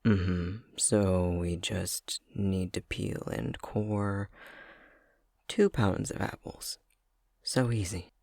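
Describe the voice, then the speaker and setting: A young man playing a clumsy, self-conscious fox-shifter boyfriend in an intimate ASMR roleplay, speaking hesitantly with a soft, breathy, gravelly voice. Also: sits low, fairly even intonation, very slow, dry recording, heavily acted. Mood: sheepish, teasing. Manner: hesitant, stammering, self-deprecating.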